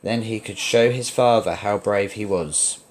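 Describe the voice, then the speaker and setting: A man reading a children's story aloud as narrator, in a dramatic, expressive read-along style. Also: fairly low pitch, a little slow, a little hiss or hum, low-quality recording.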